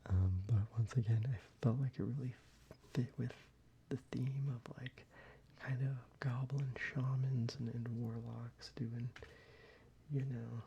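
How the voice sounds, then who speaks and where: A man whispering softly and unhurriedly, with fillers, as he shows cards to the viewer for ASMR. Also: medium pitch, steady tone, a little slow, gravelly, dull audio, a little hiss or hum. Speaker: ASMR creator. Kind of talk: soft-spoken ASMR card deck showcase.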